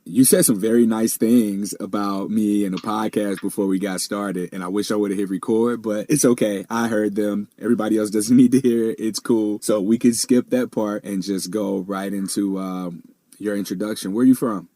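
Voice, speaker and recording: A man's voice: on the low side, fairly even intonation, normal speed, mellow, dry recording. A man in his thirties hosting a podcast in a casual, rambling way, laughing easily at his own asides. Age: 30s. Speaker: podcast host.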